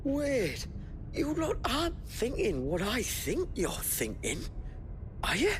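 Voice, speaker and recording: Chatty young fantasy local, swooping intonation, high voice, wary, colloquial, game cutscene. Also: female voice, takes it slowly, a little hiss or hum, full character voice. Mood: nervous, pleading. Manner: colloquial, expressive, hesitant. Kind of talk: video game cutscene acting.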